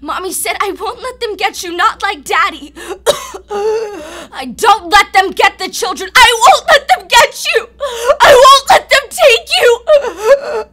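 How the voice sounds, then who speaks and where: A woman's voice: very high voice, slow pace, pushed and bright, full character voice. An actress playing a traumatized young woman with the mind and simple speech of a child, in a radio drama. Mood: panicked. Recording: audible background noise.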